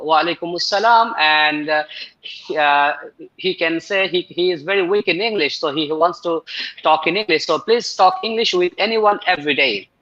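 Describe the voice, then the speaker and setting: A man leads live speaking-exam practice, putting questions to a student in a bright, fairly high voice. He often stops and restarts with fillers as he goes. The sound is dull and muffled. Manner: halting, with many fillers. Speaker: English speaking tutor.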